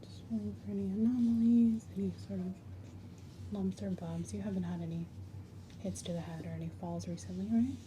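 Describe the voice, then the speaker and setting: A woman giving a soft-spoken, often whispered ASMR medical exam, gently talking through each step in the role of a clinician. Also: medium pitch, steady tone, fairly quick, noisy background. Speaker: ASMR host playing a clinical examiner.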